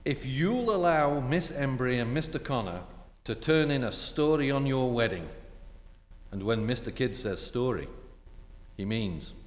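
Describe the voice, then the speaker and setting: A man acts a roguish, mock-gallant ex-husband in an old radio comedy. He takes his time, with big swoops in pitch. The stage hall echoes around him. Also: animated, lightly acted. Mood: smug. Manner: sardonic, mock-gallant, unhurried. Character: suave, teasing, roguish ex-husband. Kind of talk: staged 1940s-style radio comedy acting. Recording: echoey theatre hall recording.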